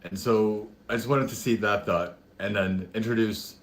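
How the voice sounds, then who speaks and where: A man with a deep voice that is a bit raspy today talks through his ideas on an online panel. He speaks through a headphone mic, and there is a faint hiss behind him. He often pauses and uses fillers as he works out his thoughts. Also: fairly even intonation. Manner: thoughtful, halting, many fillers. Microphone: headphone mic on a video call.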